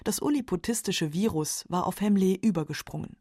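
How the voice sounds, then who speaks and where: A woman's voice: lowish voice, fairly quick, warm tone, slightly rough, dry recording. A woman reading scripted documentary narration in a studio. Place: dry studio.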